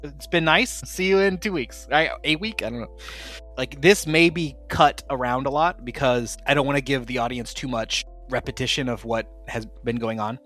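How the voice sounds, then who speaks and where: A man's voice: medium pitch, normal speed, fairly bright tone, soft background music. A man casually hosting and running an actual-play tabletop game podcast, with a playful, jokey manner. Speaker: podcast host and game master.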